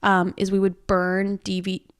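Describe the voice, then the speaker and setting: A woman with a Canadian accent chatting casually and telling stories in an animated way as a podcast co-host. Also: medium pitch, unhurried, noisy background. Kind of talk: casual chat.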